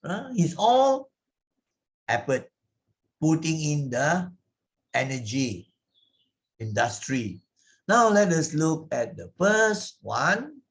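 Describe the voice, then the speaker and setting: A man with a Malaysian accent giving an explanatory moral lesson as a teacher, deliberate and didactic, stressing and repeating key words. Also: fairly high pitch, very animated. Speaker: Buddhist Sunday school teacher.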